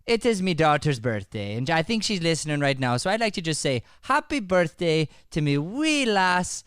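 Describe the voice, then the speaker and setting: Man, smooth higher-pitched voice, chatty affectionate show host, dry close sound. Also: no echo. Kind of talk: live radio-style show banter.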